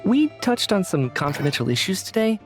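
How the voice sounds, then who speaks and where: A man's voice: high-pitched, brisk, soft background music. A man playing a weary, affable government researcher in a video game, polite and somewhat hesitant. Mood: apologetic, mild. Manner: polite, hesitant, sighing, expressive.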